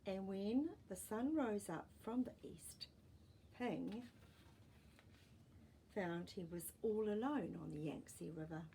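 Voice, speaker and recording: Mellow woman reading a children's story. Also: mid-range voice, gravelly, slight background noise, rough recording. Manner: deliberate, expressive phrasing for young listeners. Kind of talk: read-aloud children's storytelling with asides.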